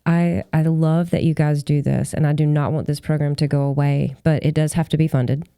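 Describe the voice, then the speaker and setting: A woman's voice: sits low, steady tone, normal speed, warm tone, clear, resonant tone, no echo, clean studio sound. A woman hosting a podcast in a casual, effusive, informal way. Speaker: podcast host.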